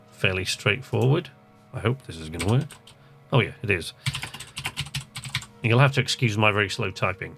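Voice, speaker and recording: A man's voice: lowish voice, creaky, a bit bright. A man giving casual let's-play commentary, chatty and hesitant as he thinks aloud and reads game text. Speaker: host of a video game channel.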